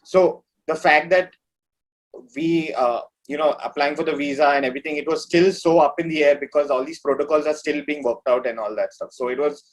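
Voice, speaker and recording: A man's voice: on the high side, fairly quick, rough recording. A man talking informally as an interview guest, rambling along in a casual chat. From India. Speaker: metal musician, interview guest.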